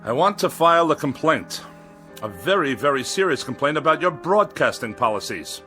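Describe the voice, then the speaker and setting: An irascible, haughty middle-aged misanthrope for radio drama. His voice is forceful and very animated, with indignant, sarcastic bite, over faint music. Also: fairly high pitch, normal speed. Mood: outraged.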